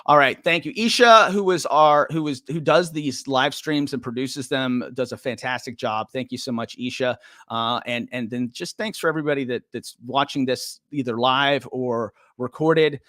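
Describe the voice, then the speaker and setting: A man's voice: mid-range voice, moderate pace, fairly bright tone, no room sound, studio quality. An older man hosting a live-stream podcast, upbeat and chatty, joking at his own expense. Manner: upbeat, chatty, self-deprecating. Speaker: podcast host.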